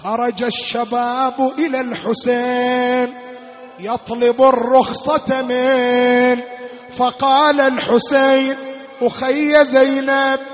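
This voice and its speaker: A man delivering a half-chanted Shia lamentation sermon as a religious reciter, in an anguished, wailing, drawn-out voice. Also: extremely high pitch, little pitch movement. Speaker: religious mourning reciter and preacher. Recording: long hall reverb, dull lo-fi sound, music-like backing.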